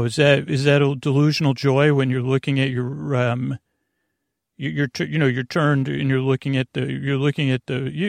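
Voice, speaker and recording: A man hosting a bedtime podcast with a creaky, gravelly voice, meandering and halting in a goofy, self-deprecating monologue that keeps going off topic. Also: on the high side, dry recording, clean studio sound. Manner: meandering, halting, goes off topic mid-sentence. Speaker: podcast host.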